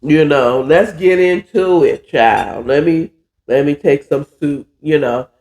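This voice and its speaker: Hoarse, stuffy-voiced man chatting slowly. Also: fairly high pitch, a little mellow. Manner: chatty, drawling, says "child" a lot. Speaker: podcast host. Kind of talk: casual cooking chat.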